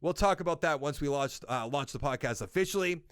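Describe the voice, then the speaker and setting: A man's voice: on the high side, lively intonation, fairly quick, fairly bright tone, clean tone, no echo, studio quality. A young man with a Boston accent hosting a casual sports podcast, rambling and thinking aloud as he corrects himself. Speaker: podcast host.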